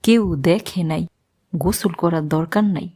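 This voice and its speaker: A woman's voice: fairly low pitch, unhurried, noisy background, lightly acted. A woman with a Bangladeshi accent playing a stubborn, cheeky, whiny child in a comic cartoon. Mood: stubborn grumbling.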